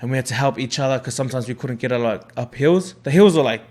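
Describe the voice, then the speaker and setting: A man's voice: mid-range voice, fast, a little mellow. Laid-back young New Zealand man. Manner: laid-back, rambling, lots of fillers and swearing. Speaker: rugby league player. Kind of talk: casual podcast chat.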